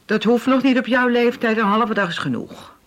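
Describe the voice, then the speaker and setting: Woman playing a high-voiced fussing mother. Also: normal speed, lightly acted. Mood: worried, scolding. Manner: admonishing, exasperated. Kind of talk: Dutch radio play acting.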